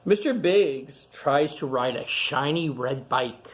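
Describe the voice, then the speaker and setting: Slow-paced man reading a children's storybook. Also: mid-range voice, expressive. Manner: pauses to point out and comment on pictures; simple, repetitive phrasing aimed at young children. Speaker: storytime reader and host. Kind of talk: reading a picture book aloud to children.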